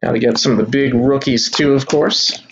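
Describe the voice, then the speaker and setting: A man's voice: mid-range voice, fairly quick, muffled recording, slight background noise. A man hosting a live sports card break, talking casually to his viewers as he opens boxes at a table indoors. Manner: relaxed, chatty, addresses viewers as y'all. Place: indoor room at a kitchen table.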